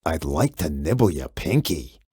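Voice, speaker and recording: A woman's voice: rumbling low, big pitch swings, a little slow, dark tone, breathy edge, no echo, studio quality, pitched below the performer's own voice, full character voice. A woman voicing a growly male storybook monster, hungry, fond and teasingly menacing. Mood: playful menace.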